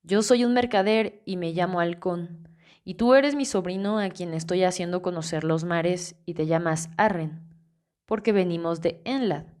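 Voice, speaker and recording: A woman reading the lines of a calm, wry older male archmage, in an easygoing, gently teasing way, in an audiobook. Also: on the low side, moderate pace, close to an everyday voice.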